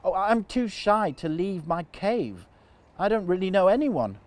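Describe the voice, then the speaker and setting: A man voicing a shy, excitable female dragon in a children's story. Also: high voice, unhurried, pitched above the performer's own voice.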